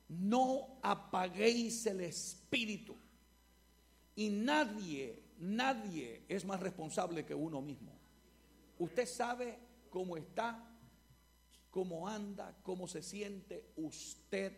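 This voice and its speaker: A Salvadoran man preaching a fervent sermon as pastor, building to shouted repetitions, recorded live before a congregation. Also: high voice, expressive, takes it slowly, long pauses. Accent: Salvadoran Spanish. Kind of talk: Pentecostal-style sermon.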